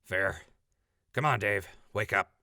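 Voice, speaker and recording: Dry, laid-back survivor, played by a male actor, low creaky voice with a bright edge. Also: takes it slowly, no echo, heavily acted. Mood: wry. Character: dry, wry, unfussy male wasteland crew member. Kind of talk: post-apocalyptic audio drama acting.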